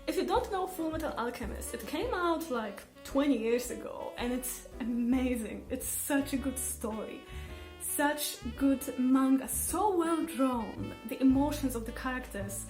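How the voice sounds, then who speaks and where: A woman's voice: high-pitched, a little mellow. A woman vlogger chatting casually and enthusiastically to her audience, with emphatic phrasing. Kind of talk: casual chat to camera about favourites. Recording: roomy echo, faint music behind. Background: faint background music.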